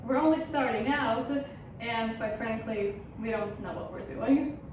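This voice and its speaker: Deadpan woman co-host in an echoey room. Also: fairly high pitch, a little slow, noisy background. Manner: dry, deadpan humor. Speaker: co-host, former postdoc. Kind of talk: casual educational chat.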